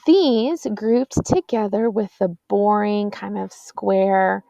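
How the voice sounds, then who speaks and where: A choir teacher, patiently explaining sheet music to middle school singers and counting beats aloud. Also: female voice, mid-range voice, animated, slow, creaky, warm tone, no echo. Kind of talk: classroom music lesson. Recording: dull, dry lo-fi audio.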